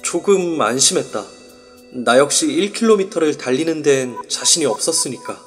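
A man's voice: on the high side, lively intonation, normal speed, faint music behind, lightly acted. A man narrating as a wry, musing young man in first-person inner monologue. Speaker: narrator and lead.

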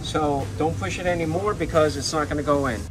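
Male technician, slightly high voice, step-by-step hands-on tutorial delivery, music behind. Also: brisk, rough recording. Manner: instructional, step-by-step explaining. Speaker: marine electrical technician presenting repairs.

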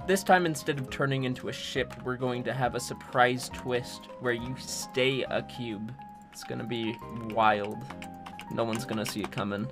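Joking young man narrating a build. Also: medium pitch. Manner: joking, sarcastic, chatty. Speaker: level-building streamer. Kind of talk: casual commentary with voice-over narration.

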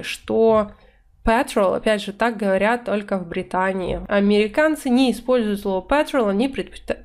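A woman in her thirties giving an online vocabulary lesson, talking friendly and steady. She slows right down to read the example phrases aloud, then explains them at a natural pace. Her voice sits at an ordinary middle pitch for a woman. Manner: explains in Russian, reads English phrases slowly. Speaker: English teacher. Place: indoor room, webcam in corner of slides.

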